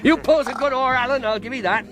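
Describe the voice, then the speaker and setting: An actor playing a wily, genial, scheming pirate sea cook in a radio drama. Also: male voice, extremely high pitch, quick pace, very noisy. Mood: jovial.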